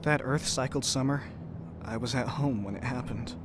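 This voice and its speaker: An actor playing an earnest, compassionate doctor in a science-fiction audio drama. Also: male voice, mid-range voice, steady tone, moderate pace, background noise, close to an everyday voice. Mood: somber, reflective. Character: earnest grieving male doctor, cautious and compassionate sci-fi traveller.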